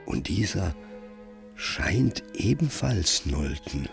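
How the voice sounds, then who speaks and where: An actor playing a grave, brooding narrator of a Gothic tale in a radio play, measured and solemn with dramatic emphasis. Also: male voice, low voice, very animated, vocal fry, full character voice. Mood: haunted, hushed. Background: music.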